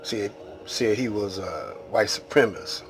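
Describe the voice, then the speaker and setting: An American man hosts a casual, unscripted monologue in a low voice. He takes his time, speaking very slowly and haltingly in rambling street talk. Faint music plays behind him. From Detroit, Michigan, United States. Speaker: video host.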